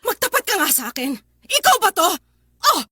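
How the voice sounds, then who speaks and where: A woman's voice: very high voice, sing-song, fairly quick, pushed and bright, no echo, clean studio sound, exaggerated voice. A woman playing an outraged, ashamed mother who scolds and pleads tearfully in an emotional radio drama. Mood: suspicious. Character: outraged, ashamed mother confronting her daughter. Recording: prominent music behind the voice.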